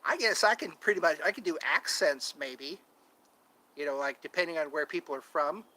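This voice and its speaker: A man's voice: a little high, moderate pace, bright, forceful tone. A middle-aged man chatting casually on his vlog, rambling and joking, trying out voices and impressions. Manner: rambling, jokey, tries out voices. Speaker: vlogger.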